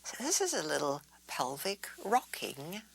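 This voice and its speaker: A woman teaching yoga in a soothing, unhurried way, guiding relaxation and gentle stretches and drifting into breathy near-whispers. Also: low-pitched, sing-song, slight background noise.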